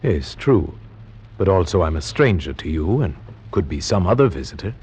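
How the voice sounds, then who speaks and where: An actor playing a grave, dread-filled gentleman, narrating solemnly and deliberately in theatrical old-time radio horror style. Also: sits low, sing-song, husky, heavily acted. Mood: polite, puzzled. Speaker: narrator and visiting friend. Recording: muffled vintage radio recording with music. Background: music.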